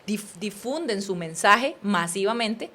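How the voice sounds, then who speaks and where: A woman in her thirties with a Costa Rican accent, a podcast guest telling her life story in an earnest, animated way. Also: mid-range voice, measured pace. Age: 30s. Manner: earnest, animated, addresses listener as usted. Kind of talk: personal storytelling in conversation.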